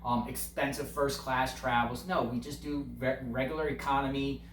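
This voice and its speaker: A man's voice: mid-range voice, flat delivery, normal speed, fairly bright tone. A man giving earnest, casual interview answers, repeating phrases for emphasis. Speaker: online video creator, interviewee. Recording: echoey, distant mic, faint noise.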